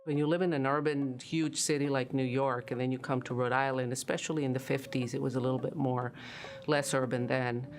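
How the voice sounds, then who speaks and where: A calm speaker tells a community's story in a documentary interview, slow and even in tone. A plucked guitar plays underneath. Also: mid-range voice.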